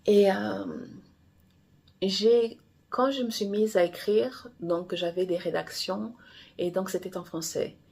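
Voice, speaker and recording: A woman's voice: mid-range voice, steady tone, takes it slowly, vocal fry, a little mellow, husky. A woman from Angola, a native Portuguese speaker, talking casually as an author in a rambling monologue, often restarting and correcting herself. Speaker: romance author.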